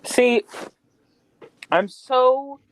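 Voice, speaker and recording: A man's voice: extremely high pitch, extremely slow, slight background noise, rough recording. A man co-hosting a podcast, talking in an informal, slangy way. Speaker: podcast co-host. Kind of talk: casual chat.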